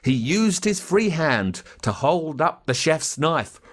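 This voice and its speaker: An Australian man narrating a children's story in a dramatic, expressive way. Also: on the high side, takes it slowly. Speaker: audiobook narrator.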